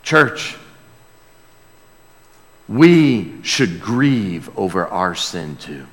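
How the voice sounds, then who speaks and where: A man's voice: fairly low pitch, big pitch swings, very slow, a bit bright. Man preaching in a reverberant hall. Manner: earnest, exclamatory, dwelling on phrases with repeats. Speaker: pastor preaching to a congregation. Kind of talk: sermon, conversational Bible teaching with call and response. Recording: long hall reverb of a large room.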